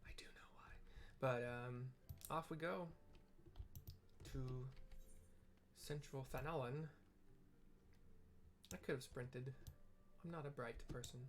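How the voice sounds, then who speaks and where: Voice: mid-range voice, slow, long pauses. A streamer casually commenting on their gameplay, rambling and self-deprecating while thinking aloud. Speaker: streamer playing a game.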